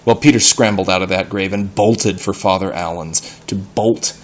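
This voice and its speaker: A man reading a story aloud expressively, pausing to explain words and ask questions in a patient, teacherly way. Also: low voice, fairly even intonation, slight background noise.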